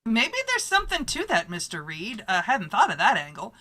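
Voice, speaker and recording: A woman playing a brash, bright-voiced girl photographer. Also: medium pitch, wildly swooping pitch, moderate pace, full character voice. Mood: chatty. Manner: breezy, slangy, emphatic. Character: brash, eager female newspaper photographer, slangy and scheming. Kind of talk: old-time radio drama acting.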